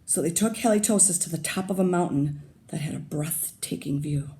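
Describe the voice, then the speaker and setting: A woman teacher reads a picture book aloud to young students. She stops often to comment and to ask the children questions. Her voice sits low and mellow, with a gravelly fry, and a faint hum of room noise stays under it. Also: brisk.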